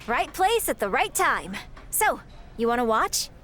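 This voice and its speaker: A woman's voice: high-pitched, very animated, measured pace, a bit bright, faint music behind, full character voice. An actress playing an eager, boastful young female wrestler in a video game cutscene, chatty and upbeat with a defiant edge. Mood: friendly, chatty.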